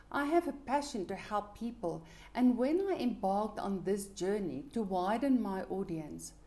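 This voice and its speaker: A middle-aged woman whose first language is Afrikaans, giving an earnest, measured motivational talk about her own life. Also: mid-range voice, dark tone. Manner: earnest, measured, with small restarts.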